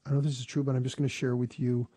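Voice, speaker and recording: A man's voice: medium pitch, level tone, very fast, mellow, no echo. A man hosting a faith conversation, telling a story in an earnest, reflective way.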